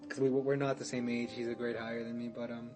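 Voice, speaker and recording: A man's voice: mid-range voice, little pitch movement, fast talker, over music. A young man guesting on a podcast, telling a story in casual chat, rambling, restarting and swearing, with laughs mixed in.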